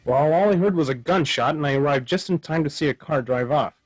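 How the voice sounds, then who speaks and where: A man's voice: on the high side, a little fast, soft background music, lightly acted. An actor playing a dim, literal-minded male detective hero in a comic radio play. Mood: naive, earnest.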